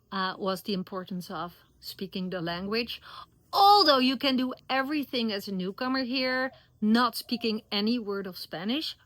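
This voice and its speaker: A middle-aged woman with a Dutch accent talks straight to the camera in a casual vlog. Her pitch sits right in the middle for a woman. She gives earnest, encouraging advice, and her English phrasing is loose and non-native. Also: pushed and bright, no room sound. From Netherlands. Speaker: expat vlogger.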